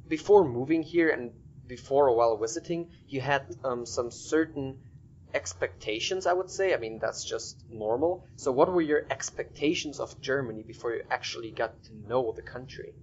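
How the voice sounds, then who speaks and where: A man's voice: medium pitch, expressive, normal speed, dull audio. A man hosting a podcast, talking informally and upbeat as he introduces and interviews his guest.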